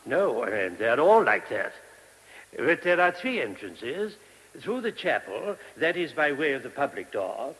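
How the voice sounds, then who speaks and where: An actor in a radio play voicing a gentle, earnest monk who explains things courteously and a little hesitantly. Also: male voice, medium pitch, sing-song, measured pace, husky, heavily acted, poor audio. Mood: earnest, explanatory. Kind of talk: radio drama acting. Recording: hissy old radio recording.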